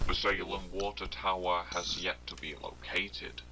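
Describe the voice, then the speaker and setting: A man playing a grave, detached government announcer, reading a formal, measured emergency bulletin for an analog horror video. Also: sits low, completely flat delivery, music in the background, low-quality recording. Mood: detached concern. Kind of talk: scripted emergency bulletin narration.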